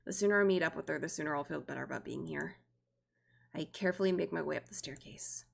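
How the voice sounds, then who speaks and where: A woman's voice: deep voice, fast talker, gravelly. A woman streamer reading a visual novel aloud, expressively and theatrically, with joking asides. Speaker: streamer, virtual YouTuber.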